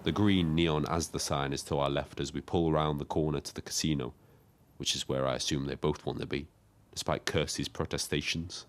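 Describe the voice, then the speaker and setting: Lonely British taxi driver, extremely deep voice, audio drama narration, measured, wry, observant. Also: male voice, steady tone. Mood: wry, weary. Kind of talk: scripted first-person narration.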